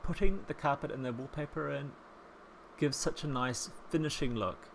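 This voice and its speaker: A man in his thirties with a soft New Zealand accent, chatting casually as a voice-over while he builds a home in a life-sim game, rambling and self-deprecating. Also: a little high, normal speed, creaky, a little hiss or hum, low-quality recording. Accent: soft New Zealand, softened by years in England. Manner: chatty, rambling, self-deprecating, with frequent ums and small laughs. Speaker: Sims speed-build creator.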